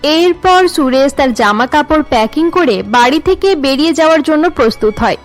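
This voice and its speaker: A woman's voice: sits high, animated, normal speed, faint music behind. A woman narrator reading a scripted story for a cartoon.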